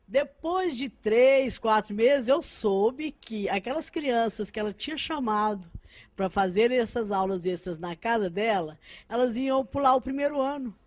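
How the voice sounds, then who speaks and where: A woman's voice: medium pitch, darkish tone. A woman whose first language is Arabic, giving personal recollections as an interview guest, earnest with hesitant restarts.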